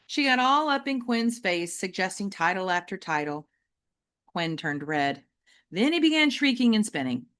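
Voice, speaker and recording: A woman reading a children's novel aloud like an audiobook, with deliberate, emphatic and varied phrasing. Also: medium pitch. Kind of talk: expressive audiobook-style storytelling for children.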